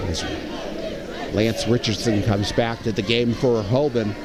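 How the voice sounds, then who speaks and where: A male sports commentator with a medium-pitched voice offers analysis on a live basketball game. Clear crowd noise and gym clamour sit behind his words. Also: fairly even intonation, unhurried. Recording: noisy gym with audible crowd.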